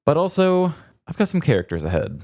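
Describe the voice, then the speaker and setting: A man's voice: a little high, very animated, brisk, warm tone, no room sound. A man co-hosting a casual podcast, chatting and then reading out a wry personal essay in a dry storytelling manner. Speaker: podcast co-host. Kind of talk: casual chat and read-aloud essay.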